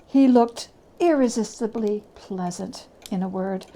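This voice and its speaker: A woman narrating a classic story as a dramatic reading, with expressive, deliberate phrasing. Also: mid-range voice.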